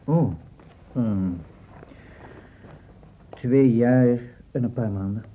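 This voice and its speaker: An actor playing an informed, unsentimental doctor in a Dutch radio play, reporting in a clipped, factual way. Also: male voice, medium pitch, extremely slow. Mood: matter-of-fact. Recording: muffled, dull old radio recording.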